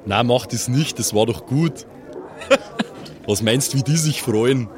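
A man's voice: mid-range voice, slow. A man with a southern German or Austrian accent, chatting casually as a player in an improvised tabletop role-playing game.

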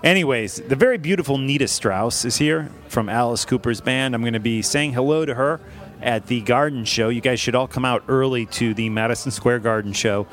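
A man in his forties hosting a music podcast, upbeat and quick to laugh and agree in casual chat. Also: medium pitch, fairly bright tone. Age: 40s. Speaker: podcast host.

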